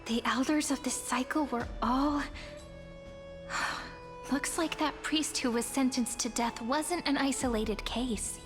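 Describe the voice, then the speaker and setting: A woman playing a polite, inquisitive young traveller heroine in a video game, gentle and thoughtful. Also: high voice, slow, over music. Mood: pondering. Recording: long hall reverb.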